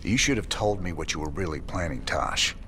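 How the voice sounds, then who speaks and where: Gruff, low-voiced rebel commander. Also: male voice, expressive, a little fast, bright, forceful tone. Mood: resolute. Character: gruff, weary, resolute middle-aged male rebel commander. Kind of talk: dramatic video game acting.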